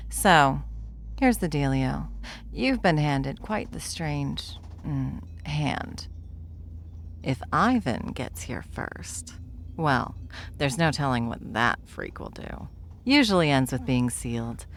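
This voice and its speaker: A woman playing a bored, smug, powerful villain in an ASMR roleplay, with a wry, taunting drawl and a creaky voice. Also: low-pitched, sing-song, slow pace, slight background noise, full character voice. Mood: wry, conversational.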